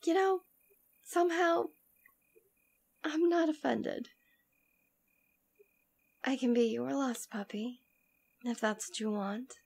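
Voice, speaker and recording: Girl voicing a demon queen for asmr roleplay, kinda high creaky voice, tender n teasing, protective lover, whispery. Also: takes it slowly, long pauses, darkish tone, full character voice. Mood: playful, teasing. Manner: intimate, tender, teasing, often dropping to a whisper. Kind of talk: ASMR roleplay audio drama.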